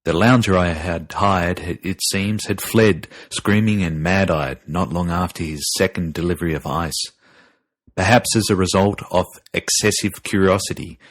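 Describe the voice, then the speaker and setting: A man narrating a scripted horror story in a grave, deliberate and ominous manner. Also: low voice, no room sound. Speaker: narrator and podcast host.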